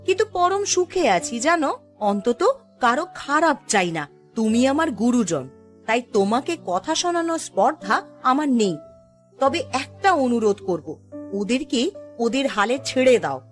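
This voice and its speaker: High-voiced, earnest young villager. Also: female voice. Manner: polite, pleading, moralizing. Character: gentle, earnest, respectful young village woman. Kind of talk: Bengali cartoon voice acting.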